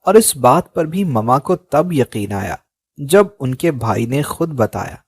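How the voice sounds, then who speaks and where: A man reads out a heartfelt first-person tale at an unhurried, deliberate pace. His voice sits at an ordinary middle pitch. The sound is close and dry, with no room around it. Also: expressive, husky, dry recording. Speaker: storyteller. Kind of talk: slow first-person story narration.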